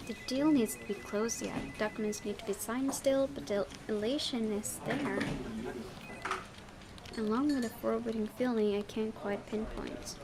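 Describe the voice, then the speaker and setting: A woman's voice: fairly high pitch, normal speed, a crowd in the background. A woman hosting a gaming video, reading visual novel narration aloud and occasionally stumbling and correcting herself.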